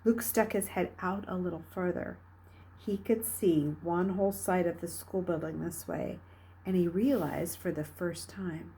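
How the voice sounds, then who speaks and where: A woman reading a novel aloud as a storyteller, with dramatic, expressive delivery. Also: fairly high pitch, slightly rough. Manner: dramatic, expressive, with hushed dips and emphatic rises. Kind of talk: read-aloud storytelling narration.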